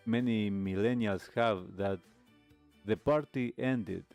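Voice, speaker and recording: A man hosting a talk show, delivering a reflective monologue in measured, deliberate phrases with pauses. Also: mid-range voice, a little mellow. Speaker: radio show host.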